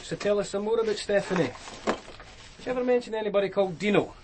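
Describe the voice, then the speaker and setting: A man's voice: sits high, fast, lightly acted. An actor playing a hard, sceptical male police detective, questioning bluntly in a radio drama. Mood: probing. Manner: blunt, sardonic. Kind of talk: naturalistic radio drama acting. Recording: very noisy radio drama track.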